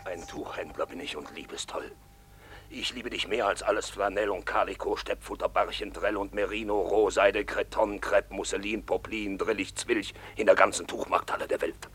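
An actor playing a lovesick draper who woos ardently and lavishly in a radio play. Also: male voice, sits low, steady tone, normal speed, fairly bright tone, a little hiss or hum. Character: lovesick middle-aged male draper, effusive. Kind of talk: radio drama acting.

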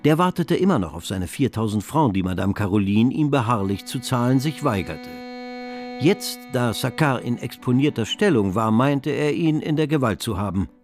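An actor as the composed, knowing narrator of a radio drama, telling the story from a script. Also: male voice, mid-range voice, lively intonation, normal speed, a little mellow, faint music behind, natural voice.

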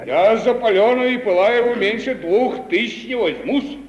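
An actor playing a crafty, stubborn older provincial merchant, folksy and mock-deferential, in a stage-style radio comedy. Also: male voice, high-pitched, animated, normal speed, distant mic. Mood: stubborn haggling. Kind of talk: 1950s Russian radio theatre comedy acting. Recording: muffled, echoey vintage 1950 radio recording, faint noise.

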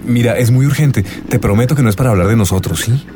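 A man's voice: mid-range voice, fast talker, music in the background, close to an everyday voice. An actor with a Colombian accent playing an eager, pleading young man in love in a radio drama. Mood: urgent, pleading.

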